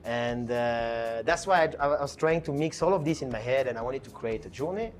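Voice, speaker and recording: A man's voice: medium pitch, lively intonation, moderate pace, slight background noise. French guy chatting about his dj path. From France. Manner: chatty, many fillers and restarts. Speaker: DJ and producer guest. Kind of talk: casual interview chat.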